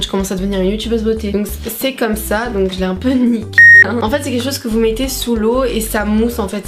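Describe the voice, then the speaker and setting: A young woman, chatting casually in a vlog, swearing a lot and tossing in playful bits of mock English, with music behind her. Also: medium pitch. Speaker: vlogger. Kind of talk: casual haul vlog chat.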